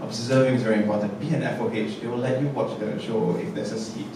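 A man's voice: on the low side, steady tone, quick pace. A man on a theatre panel, earnestly advising young actors. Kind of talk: post-show panel talk. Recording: echoey room, distant mic, noisy.

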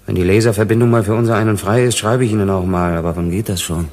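A man acting in a German radio play as a gruff, distrustful, bitter worker on a space colony. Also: on the low side, steady tone, fast, darkish tone, lightly acted. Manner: gruff, grudging. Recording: voice in long hall-like reverb.

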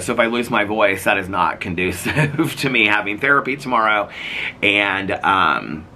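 A man's voice: low-pitched, bright, forceful tone. A man in his fifties with a Midwestern American accent, losing his voice so it sounds crackly, rambling chattily to camera on an outdoor porch. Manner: rambling, chatty, many fillers and restarts. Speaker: vlogger. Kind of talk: casual chat to camera.

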